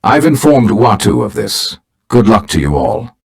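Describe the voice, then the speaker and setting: A man's voice: mid-range voice, very animated, creaky, husky, heavily acted. A man acting a dignified, formal older mentor who leads a team of heroes in a video game. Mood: grave briefing. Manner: formal, measured, grave.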